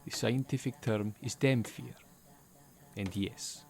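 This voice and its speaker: A man's voice: lowish voice, fairly even intonation, slow, darkish tone. A man playing a stern, pedantic half-vampire professor with an Albanian accent and a formal, exasperated manner. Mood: matter-of-fact. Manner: formal, pedantic, article-dropping speech.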